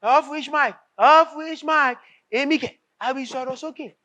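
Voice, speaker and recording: A corrupt Nigerian senator with a high-pitched voice. He blusters and stammers, repeating himself and tripping over grand words in a flustered, theatrical way. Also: measured pace, pushed and bright, full character voice. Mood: indignant, threatening. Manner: stammering, repetitive, fumbles big words. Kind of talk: stage play acting.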